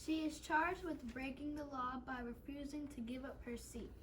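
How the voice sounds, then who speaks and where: A woman's voice: high-pitched, moderate pace. A woman reading a play script aloud in an amateur way, now and then stumbling over words. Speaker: readers theater reader. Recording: distant mic with faint room noise.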